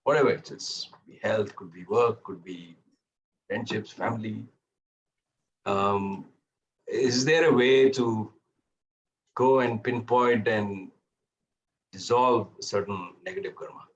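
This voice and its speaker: A man from the audience asking a question at an online talk, apologetic and measured. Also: on the low side, highs cut off, a little hiss or hum.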